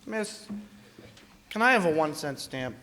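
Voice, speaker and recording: A man's voice: a little high, lively intonation, a little slow, sharp tone, exaggerated voice. A man playing a grumpy, impatient customer in an old-time radio comedy. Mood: irritated. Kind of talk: 1940s radio comedy acting on stage. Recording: echoey hall, audience noise.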